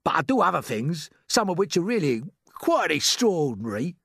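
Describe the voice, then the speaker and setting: Earnest, boastful storybook earthworm, played by a man: touchy, raised pitch, lively emphasis. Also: moderate pace, vocal fry, no room sound, studio quality, full character voice. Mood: proud, earnest. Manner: indignant, earnest. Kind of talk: storybook character voice.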